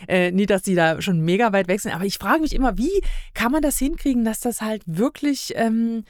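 A woman's voice: a little high, fairly quick, darkish tone, no room sound, studio quality. A woman in a casual review conversation, thinking aloud and often searching for words. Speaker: podcast guest.

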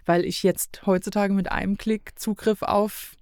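A woman's voice: mid-range voice, flat delivery, a little slow, darkish tone, smooth, dry recording, studio quality. A middle-aged woman from East Germany chatting casually as a podcast guest, talking emphatically and rambling as she corrects herself. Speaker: podcast guest, self-employed illustrator.

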